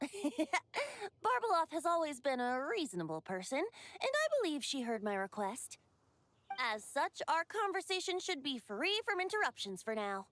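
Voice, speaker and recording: A woman playing a youthful, androgynous bard in an anime game, playful and gentle, with a lilting storyteller's delivery. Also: high voice, very animated, moderate pace, dry recording.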